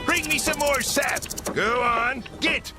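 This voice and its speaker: A man's voice: very high voice, takes it slowly, over music. A man playing a greedy, shifty frontier swindler with a folksy western drawl in a cartoon game. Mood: sly, bossy. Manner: folksy Wild West drawl, sly slips.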